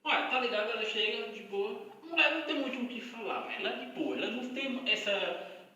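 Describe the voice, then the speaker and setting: Casting: male amateur comic, Pernambuco accent from Brazil's northeast, high-pitched, slangy and sweary, recorded far from the mic in a very echoey space. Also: lively intonation, fast talker, pushed and bright, a little hiss or hum. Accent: Garanhuns, Pernambuco, northeastern Brazilian. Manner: colloquial, swearing, acts out mimicked greetings and dialects. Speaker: amateur stand-up comedian and vlogger. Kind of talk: casual comic monologue about regional accents, slang-heavy. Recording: echoey room, distant from the mic.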